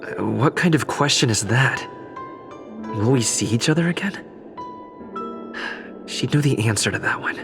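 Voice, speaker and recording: A man's voice: mid-range voice, expressive, measured pace, gravelly, long pauses. An actor playing a wary, puzzled young male protagonist in a video game, musing to himself. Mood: bemused. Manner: musing, questioning inner monologue. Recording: music behind the voice. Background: game soundtrack music.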